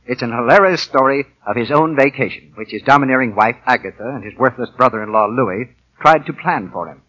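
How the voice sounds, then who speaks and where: A man's voice: medium pitch, a little fast, sharp tone, rough recording. A man reading scripted credits and notices as an announcer on a 1940s radio broadcast. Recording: vintage 1940 radio broadcast.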